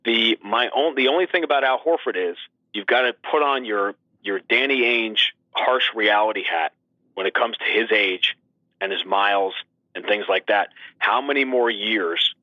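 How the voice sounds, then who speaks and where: A man's voice: lowish voice, moderate pace, dry recording. Emphatic man talking sports, muffled lo-fi sound. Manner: emphatic, fond of rhetorical questions. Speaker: guest sports radio play-by-play announcer. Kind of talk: animated sports talk. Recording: dull, lo-fi radio-like line.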